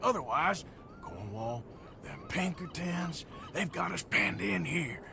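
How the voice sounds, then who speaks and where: A weary, gravelly outlaw gunslinger. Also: male voice, on the high side, very animated, takes it slowly, noisy background, full character voice. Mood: worried, reproachful. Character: weary, doubtful male outlaw gunslinger, blunt and wary. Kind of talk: video game cutscene acting. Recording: music behind.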